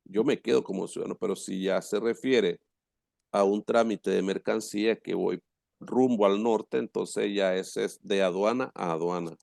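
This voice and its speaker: A man with a Nicaraguan accent giving an informal class lecture in a halting way, repeating words and checking that students follow. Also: on the low side, vocal fry, darkish tone, dry recording, studio quality. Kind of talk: informal class lecture, explaining and reading out terms.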